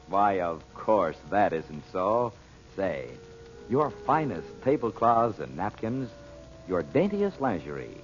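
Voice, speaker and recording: Animated male old-time radio announcer, muffled. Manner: smooth-talking, conversational sales pitch.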